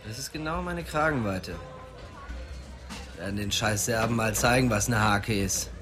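A man's voice: on the low side, moderate pace, music in the background, lightly acted. An actor playing a troubled, sullen young man with a crude manner in a radio drama. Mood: hateful.

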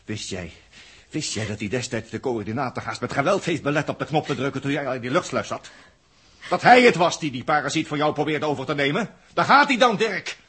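An actor playing a bitter spaceman who taunts and accuses in a husky, raspy voice, in a 1960s radio drama. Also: medium pitch, expressive, a little fast, bright, forceful tone, heavily acted. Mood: savage taunting. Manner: taunting, repeats phrases for menace.

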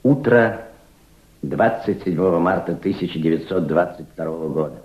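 A man's voice: sits low, animated, moderate pace, a little mellow. A male narrator delivering solemn, scripted radio drama narration. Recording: muffled, echoey old radio recording.

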